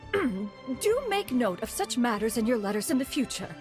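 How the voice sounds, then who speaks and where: Game role: a grand old crane spirit woman, pompous and formal, lively pitch, a touch high. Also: unhurried, music in the background. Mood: haughty. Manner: formal and pompous, speaks of herself as "one". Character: lofty ancient crane spirit woman, proud. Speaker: game character. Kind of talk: video game voice acting.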